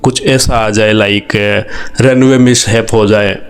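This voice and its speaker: A man with an Indian accent gives explanatory news commentary in a halting way, often rephrasing and adding asides. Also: fairly low pitch, fairly even intonation. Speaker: video narrator explaining a news event. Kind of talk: explanatory commentary, semi-scripted, mixing Hindi and English.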